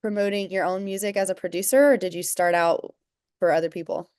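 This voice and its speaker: A woman hosting a casual industry chat, upbeat and enthusiastic, with lots of quick affirmations. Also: mid-range voice, steady tone, a little mellow, smooth. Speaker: interview host.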